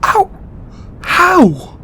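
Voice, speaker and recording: An actor playing a distraught, overburdened god in an emotional audio roleplay, a man speaking tearfully and haltingly with exasperated swearing. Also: pushed and bright, a little hiss or hum. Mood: exasperated, angry. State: crying. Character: distraught, overburdened male god venting to his devotee.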